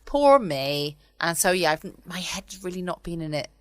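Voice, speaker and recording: Low-voiced southern English woman, run down. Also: very animated, normal speed, no echo. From United Kingdom. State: run down with a chesty cough. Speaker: podcast host. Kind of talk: casual bedtime chat. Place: at home.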